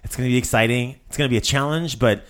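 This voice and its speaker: A man's voice: mid-range voice, very fast, fairly bright tone, clear, resonant tone. A man hosting a casual podcast who speaks in a playful, upbeat way and often stumbles and repeats his words. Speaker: podcast host. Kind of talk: casual banter.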